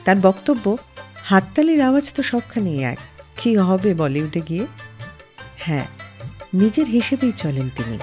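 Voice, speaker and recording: A lively, expressive woman hosts a radio show. Her voice is on the darker, mellow side and swings widely in pitch as she narrates with emphasis and dramatic pauses. Soft music plays faintly behind her. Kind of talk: scripted radio storytelling narration. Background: faint background music.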